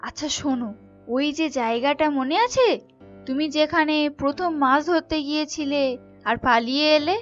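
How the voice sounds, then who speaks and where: Lady doing bossy cartoon village wife, high pitched, scolding, dull lofi audio. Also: normal speed, lightly acted. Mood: commanding, scheming. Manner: scolding, commanding. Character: bossy, scheming village wife of a poor boatman. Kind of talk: animated folk tale voice acting. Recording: dull lo-fi sound with music behind.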